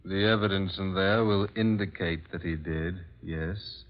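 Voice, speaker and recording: An actor playing a coldly composed, mysterious masked man in measured, formal 1940s radio horror style. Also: deep voice. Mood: cold, grave. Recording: muffled old radio recording.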